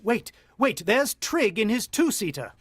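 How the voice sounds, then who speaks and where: Grave, anxious father, high-pitched and animated. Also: measured pace, slightly rough, no room sound, full character voice. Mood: anxious, coaxing. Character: worried, watchful country father and husband, haunted narrator. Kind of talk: vintage radio drama acting, first-person narration.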